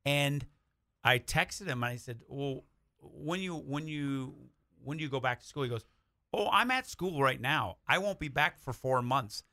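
A man's voice: medium pitch, lively intonation, unhurried, sharp tone, no echo. An American man chatting casually as a guest writer, telling anecdotes and acting out what people said. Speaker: radio play writer and guest. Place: radio studio.

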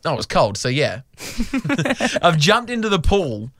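A man's voice: fairly high pitch, unhurried, no room sound, studio quality. A young Australian man co-hosting a radio show, telling embarrassing stories with self-mocking humor. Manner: self-mocking storyteller, animated. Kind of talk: casual radio banter. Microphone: studio microphone.

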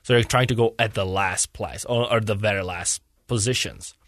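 A man's voice: on the low side, normal speed, fairly bright tone, no echo, clean studio sound. A young man from Czechia speaking English as an in a casual interview, searching for words and rambling earnestly. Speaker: guest, hockey player.

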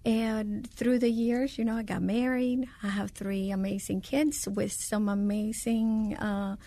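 A woman's voice: medium pitch, level tone, unhurried, mellow, no echo. An older woman from Cuba with a Cuban Spanish accent, answering radio interview questions with many hesitations. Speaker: guest, bridal shop owner.

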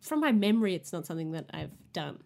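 A woman's voice: fairly low pitch, sing-song, quick pace, vocal fry, darkish tone. A woman with a mild Australian accent co-hosting a podcast, chatting casually with lots of laughs and hesitant fillers. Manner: giggly, hesitant, many fillers. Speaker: podcast co-host.

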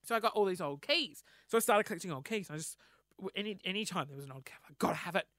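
A man with an Australian accent, hoarse from losing his voice, telling a rambling, sweary, self-mocking story on his solo podcast. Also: high-pitched, lively intonation, very fast, a bit bright, dry recording, studio quality. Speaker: podcast host.

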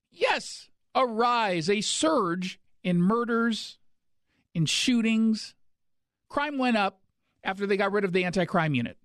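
A man's voice: sits high, fairly bright tone, no room sound, clean studio sound. A man hosting a talk radio show, delivering an indignant, sarcastic monologue.

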